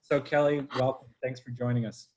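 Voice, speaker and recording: A man from Boston hosts a live online interview, his voice sitting at an ordinary middle pitch. He talks in a chatty, upbeat way and speaks straight to the viewers. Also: level tone, fairly quick, darkish tone, slight background noise. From Boston, USA. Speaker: host. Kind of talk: livestream interview.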